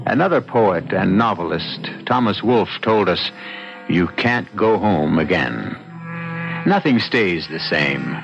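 A man hosting a radio mystery drama, narrating in a deliberate, theatrical, ominous and reflective way. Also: low-pitched, lively intonation, vocal fry, full character voice. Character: ominous, reflective male storyteller host. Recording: muffled old radio, loud music.

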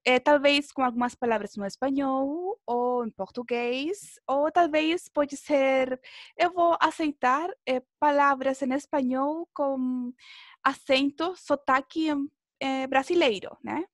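High-voiced woman teaching an online class. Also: takes it slowly, no room sound, studio quality. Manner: encouraging, checking with tag questions, prompting pupils. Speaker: language teacher. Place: indoor room, online video class.

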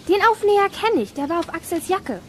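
An actress playing an eager, excitable young girl detective in a children's radio play. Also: extremely high pitch, a little fast, slight background noise, heavily acted. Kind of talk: German audio drama acting.

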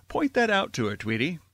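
A performer voices a pompous, greedy man who runs a college in an old-time radio comedy. The delivery is slow and hugely animated, close and dry. He is dramatic and easily exasperated. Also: medium pitch, breathy edge, no echo, exaggerated voice. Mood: scheming. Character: pompous, greedy, exasperated male college head, grown grandson.

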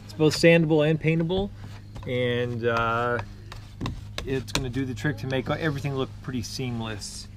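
A young man hosting a DIY video, explaining each building step in a relaxed, practical way while working outdoors on a driveway. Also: mid-range voice, moderate pace, noisy background. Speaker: DIY home improvement host. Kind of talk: casual how-to narration while working.

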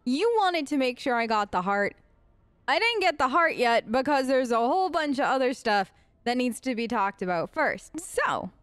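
A woman's voice: high voice, big pitch swings, moderate pace, a bit bright, clear, resonant tone, no echo. A woman hosting a role-play let's play, talking casually and informally as she improvises in character.

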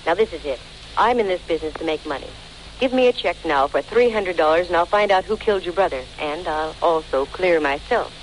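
A woman's voice: on the low side, lively intonation, brisk, close to an everyday voice. Actress as brassy female private eye: dry, breezy, wisecracking, old-time radio sound with slight noise. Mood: no-nonsense. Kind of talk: 1940s radio detective acting. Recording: noisy vintage 1940s radio recording.

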